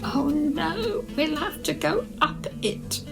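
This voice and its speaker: A woman reading for young children in an expressive, deliberate, sing-song storytelling manner. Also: high-pitched, creaky, over loud music.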